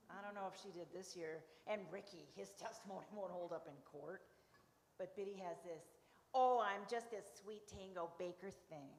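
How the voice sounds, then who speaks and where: A woman's voice: medium pitch, slight background noise, natural voice. A woman playing an indignant, opinionated gossip in a live radio comedy, sardonic in manner. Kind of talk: live comic radio-show acting. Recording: echoey reverberant hall.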